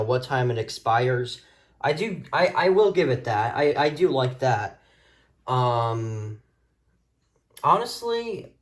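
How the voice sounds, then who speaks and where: A man casually showing gadgets hands-on, talking in a hesitant, rambling way into a phone camera. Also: medium pitch. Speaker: video host showing a radio collection. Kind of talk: casual hands-on show-and-tell. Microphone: phone camera mic, handheld.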